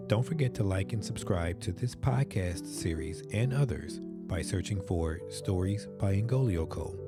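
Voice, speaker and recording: Male announcer, deep register, scripted podcast sign-off over a clear music bed. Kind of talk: scripted outro narration. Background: music under the voice.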